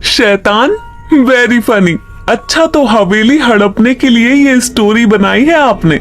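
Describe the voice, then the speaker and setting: A woman playing a bold, skeptical young woman in a cartoon horror story, expressive and sprinkled with English phrases. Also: fairly high pitch, slow, soft background music. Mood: defiant.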